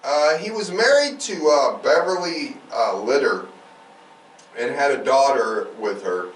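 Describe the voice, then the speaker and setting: A man's voice: medium pitch, animated, measured pace. A man hosting a true crime podcast, reading out case notes with irreverent, sweary, casual asides. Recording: roomy, distant mic, faint background noise.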